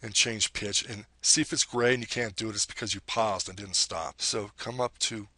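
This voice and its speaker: A man with a deep voice giving a screen-recorded software tutorial, explaining each step plainly and now and then correcting himself. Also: steady tone, moderate pace, dry recording.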